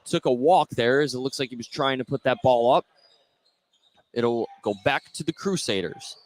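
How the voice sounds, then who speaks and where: A man calling live play-by-play of a high school basketball game for radio. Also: normal speed. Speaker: play-by-play commentator. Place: courtside at an indoor basketball game.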